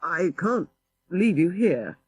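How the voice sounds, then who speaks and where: A man voicing a proper, self-important young Victorian gentleman in an audiobook story. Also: high-pitched, very animated, slow, husky, no room sound, pitched above the performer's own voice, heavily acted. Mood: anxious propriety. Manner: formal, prim.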